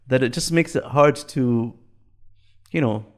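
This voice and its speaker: A man in his fifties from Bahrain talks things over in an easygoing podcast chat. His pitch sits in an ordinary middle range. He thinks aloud, doubling back on words and laughing easily as he goes. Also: measured pace. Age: 50s. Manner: reflective, repeats and restarts words, laughs easily.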